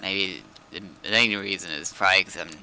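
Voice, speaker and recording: A man's voice: low voice, brisk, sharp tone, rough recording. A man livestreaming a game, chatting and commentating casually. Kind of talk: casual gaming commentary and chat. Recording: background noise, keyboard typing.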